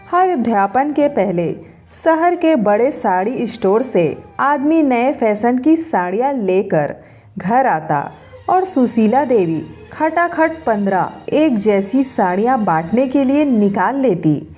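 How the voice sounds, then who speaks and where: A woman reading a scripted story in an expressive storytelling manner. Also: fairly high pitch. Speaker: story narrator. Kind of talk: scripted Hindi story narration. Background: background music.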